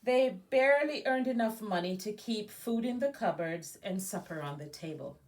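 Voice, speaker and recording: A woman's voice: mid-range voice, lively intonation, normal speed, rough recording. A woman from Jamaica, an education nonprofit director, reading aloud for a children's story time with informal asides.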